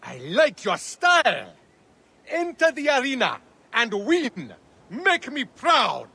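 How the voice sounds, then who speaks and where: A man acting a brash, scheming arena boss in a video game, with a rough edge and theatrical, drawn-out delivery. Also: very high voice, wildly swooping pitch, pushed and bright, heavily acted. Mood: exuberant.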